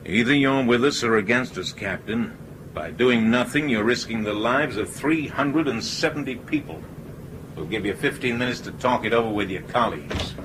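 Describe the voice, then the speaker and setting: An actor in a radio drama playing a cool, persuasive ringleader who speaks in an assertive, matter-of-fact way. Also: male voice, mid-range voice, moderate pace, noisy background, close to an everyday voice. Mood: determined. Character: cool, persuasive male ringleader plotting a takeover.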